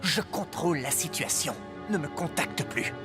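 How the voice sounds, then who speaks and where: A man's voice: on the high side, expressive, moderate pace, loud music behind the voice, natural voice. An actor playing a glib, sly young man in a French cartoon dub, casual in manner. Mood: curt.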